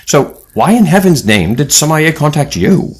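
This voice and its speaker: A man's voice: a little high, very animated, moderate pace, a little mellow, a little hiss or hum. A man playing a genial, learned older leader of adventurers in an audio drama, speaking in an erudite manner. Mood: intrigued. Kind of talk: audio drama acting and narration.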